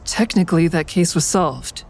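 A woman's voice: lowish voice, moderate pace, darkish tone, breathy edge. An actress playing a guilt-laden, wary woman in danger in an audio drama, speaking in an earnest, confiding way. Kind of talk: full-cast audio drama acting and inner narration.

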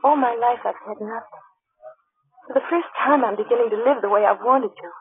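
A woman's voice: on the high side, a little fast, lightly acted. A woman with an American accent playing a young, intense, wounded wife in a theatrical, emotional old-time radio drama. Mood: pleading, anxious. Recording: tinny old radio recording, faint music.

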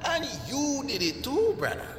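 A man voicing a chummy, excitable disembodied voice inside someone's head in an audio drama, with a reverberant effect. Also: very high voice, moderate pace, slight background noise, full character voice. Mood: chummy encouragement. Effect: reverberant voice-in-the-head echo. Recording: echoing long reverb, loud music.

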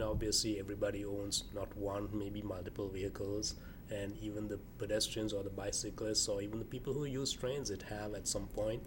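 A man, a city official, answering interview questions in a calm, precise, explanatory way. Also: lowish voice, a little hiss or hum. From Sri Lanka. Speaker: public works director, guest.

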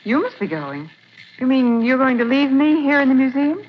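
An inquisitive young assistant in old-time radio. Her voice sits fairly high. The recording is dull and lo-fi, with a hiss behind it. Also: sing-song, moderate pace. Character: young female detective's assistant, lively. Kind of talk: 1940s radio acting.

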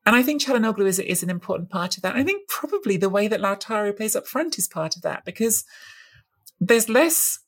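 A woman's voice: mid-range voice, big pitch swings, fairly quick, slightly rough. A woman co-hosting a football chat, analytical and thoughtful, sometimes hunting for the right word. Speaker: football podcast co-host.

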